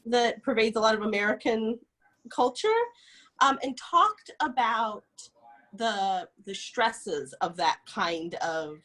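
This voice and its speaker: A woman's voice: fairly high pitch, takes it slowly, a bit bright, rough recording. A woman scholar interviewing an author over a video call, asking long, winding questions full of "sort of" hedges. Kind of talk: conversational interview.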